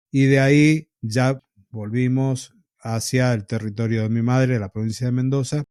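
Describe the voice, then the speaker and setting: A man from Argentina with an Argentine accent, a podcast host telling his own story in an unhurried, reflective way. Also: mid-range voice, no echo, clean studio sound. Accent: Argentine Spanish. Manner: reflective, unhurried, with pauses and soft laughs. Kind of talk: casual storytelling in an interview.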